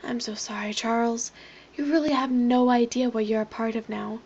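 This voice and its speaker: A slightly lo-fi recording of a woman playing an ominous, coldly courteous villainess in a horror audio drama. Her voice is on the high side, and she stays formal, composed and apologetic in her menace. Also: fairly even intonation, normal speed, darkish tone. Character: cold, ominous, politely apologetic woman with fatal purpose. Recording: slightly dull, lo-fi microphone.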